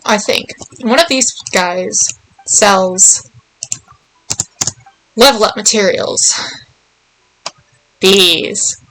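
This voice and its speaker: A woman's voice: mid-range voice, extremely slow, pauses often, a bit bright, low-quality recording. A woman giving casual, playful video game commentary, thinking aloud and murmuring little nonsense words to herself as she plays.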